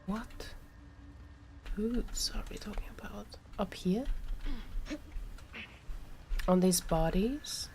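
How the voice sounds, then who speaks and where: A woman's voice: mid-range voice, drawn-out words, long pauses, mellow, slight background noise. A woman doing casual live game commentary, musing aloud and often muttering to herself. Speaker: game streamer.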